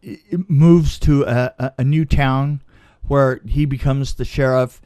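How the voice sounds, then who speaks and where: A man's voice: mid-range voice, fairly even intonation, a little slow, warm tone. A man telling a casual anecdote as a film crew guest, stammering and repeating words as he goes.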